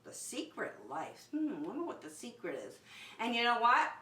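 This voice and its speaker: A woman reading a picture book aloud to young children as a circle time teacher, expressive and speaking directly to her listeners. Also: fairly high pitch, moderate pace, reverberant. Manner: expressive, addresses young listeners with questions and prompts.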